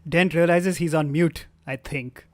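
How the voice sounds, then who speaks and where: Wry, higher-pitched male narrator. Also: a little slow. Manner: wry, deadpan. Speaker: narrator reading stage directions. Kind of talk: comic table read narration with ad-libbed asides. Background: live guitar music.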